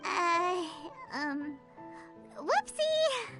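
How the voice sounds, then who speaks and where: Tiny cheeky game fairy: very high squeaky childlike voice, lively swings, music behind. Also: female voice, extremely slow, heavily acted. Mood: sheepish. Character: small childlike fairy companion, chirpy and cheeky. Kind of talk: video game voice acting.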